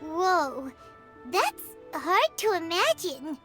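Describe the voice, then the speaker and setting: Very high, squeaky childlike game fairy. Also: female voice, big pitch swings, drawn-out words, sharp tone, faint music behind, heavily acted. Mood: curious, surprised. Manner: wide-eyed, exclamatory, speaks of self in third person. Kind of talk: video game voice acting.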